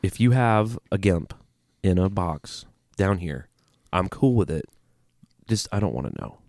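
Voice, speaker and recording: A man's voice: deep voice, animated, measured pace, a little mellow, dry recording, studio quality. A man co-hosting a casual podcast with a stuffy nose, joking around and reading listener emails aloud. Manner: joking, breaks into singing. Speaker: podcast co-host. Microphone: studio microphone, preamp talk.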